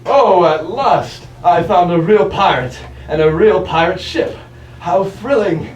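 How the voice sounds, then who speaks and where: A man's voice: sits high, lively intonation, slow, slight background noise. Prim, eager young prince played by a man, echoey. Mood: eager, deferential. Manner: prim, over-polite, hesitant. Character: eager, polite, timid young prince wanting piracy. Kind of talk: theatrical children's stage play. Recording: echoey stage from far off.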